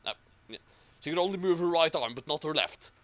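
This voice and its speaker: Male reader-commentator: slightly high voice, animated intonation, amateur story reading with dry asides. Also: brisk.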